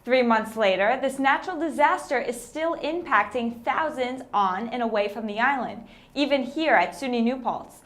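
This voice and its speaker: A woman anchors a news program with a voice a little higher than average. She reads a prepared introduction in scripted news style. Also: normal speed, a bit bright.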